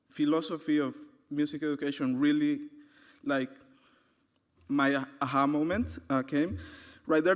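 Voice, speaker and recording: Male presenter from Honduras, slightly high voice, hesitant with fillers, hall reverb. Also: a bit bright. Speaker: conference presenter. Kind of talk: academic conference talk. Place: conference hall.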